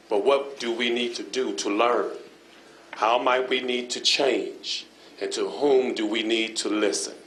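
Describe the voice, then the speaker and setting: A male pastor prays aloud in a slow, solemn rhythm, stacking repeated phrases. His voice sits at an ordinary middle pitch. The sound carries the ring of a large council chamber with a faint hum behind it. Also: reverberant, low-quality recording. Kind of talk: spoken prayer.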